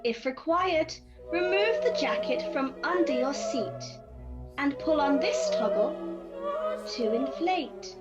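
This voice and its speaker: Music plays around an over-polite air stewardess. Her voice is high and very lively in pitch, with an affected, posh sing-song delivery, as in a theatrical in-flight safety announcement. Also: slow, lo-fi sound, full character voice. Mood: bright, affected.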